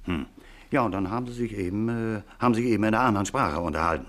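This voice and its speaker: An actor playing an eager, hesitant taxi driver witness in a 1950s radio crime drama, chatty and hemming. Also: male voice, lowish voice, fairly bright tone, lightly acted. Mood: halting, recalling. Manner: halting, hemming, chatty, small nervous chuckles.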